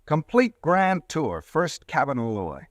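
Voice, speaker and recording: A cocky young pharmacist turned killer. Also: male voice, a little high, big pitch swings, no room sound. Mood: swaggering. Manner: swaggering, taunting, quick-shifting. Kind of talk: 1940s radio drama acting.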